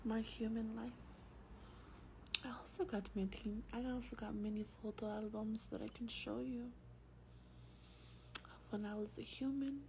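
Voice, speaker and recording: A woman speaks in a breathy, close-up whisper with a faint noise behind her. Her delivery is very slow, hushed and gentle, in the manner of an ASMR vampire reading a book aloud. The voice sits a little on the high side. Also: steady tone. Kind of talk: soft-spoken ASMR roleplay and book reading.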